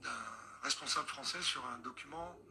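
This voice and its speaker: A man, a former adviser, recalling his experience in a measured, matter-of-fact way. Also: mid-range voice, very noisy.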